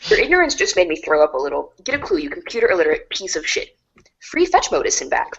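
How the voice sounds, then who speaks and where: A woman's voice: on the low side, wildly swooping pitch, fast, vocal fry, slightly rough, highs cut off, exaggerated voice. A woman playing an abusive, contemptuous computer textbook author with a scornful, insulting delivery.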